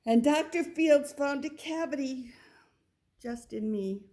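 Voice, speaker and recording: Slow, expressive woman reading a storybook. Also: high voice. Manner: expressive, drawn-out storytelling with asides to the listener. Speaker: storybook reader. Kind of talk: children's picture book read-aloud.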